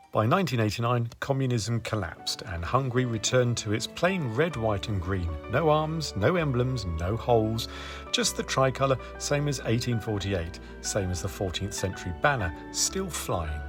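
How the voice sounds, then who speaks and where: Wry man narrating over music. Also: mid-range voice. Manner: dry, wry asides. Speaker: video host. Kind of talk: scripted narration. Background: background music.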